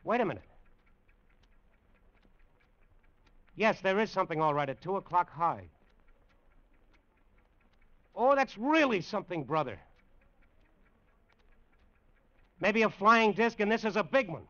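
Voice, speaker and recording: A lone rocket-plane pilot in an old radio drama. The voice is high and forceful, halting between awe and strain. The recording is dull, vintage lo-fi. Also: male voice, big pitch swings. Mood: alarmed. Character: male test pilot alone in a rocket plane, awed, straining.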